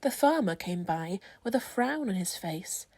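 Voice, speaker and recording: A woman's voice: medium pitch, expressive, unhurried, mellow, breathy edge, no echo. A woman reading a rhyming children's story aloud as scripted narration. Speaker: storybook reader.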